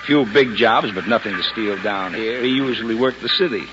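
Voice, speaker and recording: A man's voice: on the low side, fairly quick, close to an everyday voice. Man playing a weary cynical cop, old radio. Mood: weary, dismissive. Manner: resigned, dismissive. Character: weary, cynical male police lieutenant. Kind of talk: 1950s radio drama acting. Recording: dull old radio recording, music behind.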